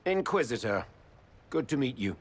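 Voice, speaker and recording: An actor playing a stern, courteous male nobleman in a video game, formal, measured and firm. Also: mid-range voice, big pitch swings, slight background noise, lightly acted. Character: stern, courteous male arl, wary border lord. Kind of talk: video game cutscene acting.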